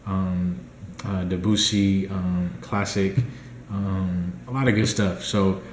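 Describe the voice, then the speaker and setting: Young guy talking low and even, lots of ums, echoey room with a little hiss. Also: slow. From United States. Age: young adult. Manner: relaxed, rambling, many ums. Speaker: dietitian vlogger. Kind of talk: casual vlog update. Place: small indoor room at home. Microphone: laptop or phone camera at close range.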